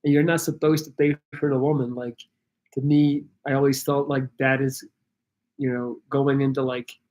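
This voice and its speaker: A man from Belgium chatting casually on a livestream, answering viewer questions in a rambling, halting way with many restarts. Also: medium pitch, little pitch movement, darkish tone. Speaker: YouTuber answering live chat.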